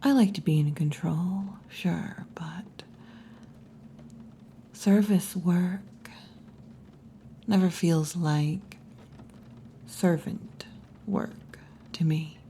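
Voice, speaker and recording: Hushed, low-voiced wolf girl bartender, acted by a woman. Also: a little hiss or hum. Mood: relaxed, warm. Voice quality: breathy, mostly whispered or half-whispered. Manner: slow, hushed, teasing, with long pauses. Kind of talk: ASMR roleplay, intimate scripted monologue. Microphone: close ASMR microphone.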